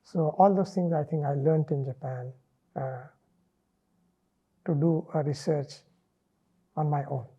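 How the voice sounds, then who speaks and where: A middle-aged man from India, a native Marathi speaker with an Indian English accent, talking in an interview in a measured, thoughtful way with many pauses. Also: on the high side, fairly even intonation, slightly rough, phone-quality sound. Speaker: interviewee, linguist. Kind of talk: relaxed reflective interview.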